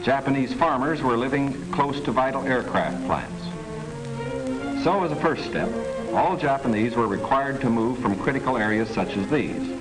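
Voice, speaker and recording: An American man reading scripted 1940s wartime documentary narration in a measured, formal manner. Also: medium pitch, lively intonation, low-quality recording. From United States. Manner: measured, formal, declarative delivery. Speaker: government film narrator. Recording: 1940s film soundtrack with music underneath. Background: music.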